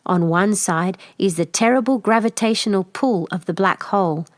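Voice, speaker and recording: A woman's voice: medium pitch, moderate pace, no room sound, studio quality. A woman reading a children's picture book aloud as a scripted narration. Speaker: storybook reader.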